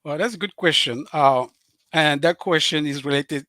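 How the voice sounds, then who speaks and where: A middle-aged man from Cameroon with a Cameroonian French accent, a guest on a live show talking earnestly and haltingly, with many fillers and restarts. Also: a little high, pushed and bright, no room sound. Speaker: author and guest.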